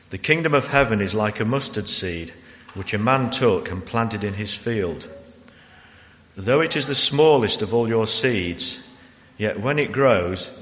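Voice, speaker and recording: A man's voice: fairly low pitch, fairly bright tone. A man giving a formal Bible reading at a church service. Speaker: scripture reader at a church service. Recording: echoey hall, clear background noise.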